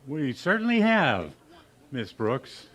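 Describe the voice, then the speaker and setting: A man's voice: mid-range voice, huge pitch swings, slow pace, a bit bright, heavily acted. A man playing a shy, literal-minded suitor in a vintage radio sitcom, hesitant and earnestly polite. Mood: awkward.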